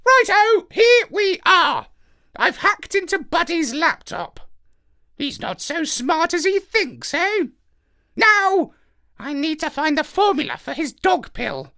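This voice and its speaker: A smug, squawky parrot genius talks down to everyone around him. The voice sits far above the narrator's own and stays loud and pushy. He has a know-it-all way of talking in a storybook reading. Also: big pitch swings, takes it slowly, creaky, exaggerated voice. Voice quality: squawky high put-on voice. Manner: smug, condescending. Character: sharp-tongued clever male parrot hacker.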